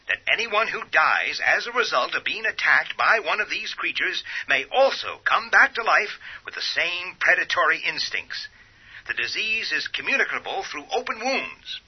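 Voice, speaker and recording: An actor playing a grave radio newsreader delivering a formal, solemn emergency bulletin in a husky voice. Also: male voice, fairly high pitch. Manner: formal, solemn, deliberate announcements. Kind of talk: scripted emergency news bulletin in radio drama. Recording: dull lo-fi radio bulletin sound.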